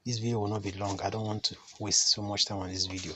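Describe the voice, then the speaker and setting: A man, slightly hoarse from a cold, giving an earnest spiritual teaching as a chief priest. He often stops to ask the listener a rhetorical "hmm?". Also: fairly low pitch, little pitch movement, quick pace, background noise. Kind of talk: talking-head teaching to camera.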